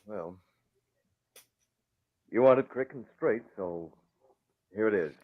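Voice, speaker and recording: A low-voiced, determined young crusader, measured and resolute. He delivers his lines as vintage radio drama on a muffled, narrow old recording. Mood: hesitant, confessing. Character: earnest, determined crusading son, secret vigilante.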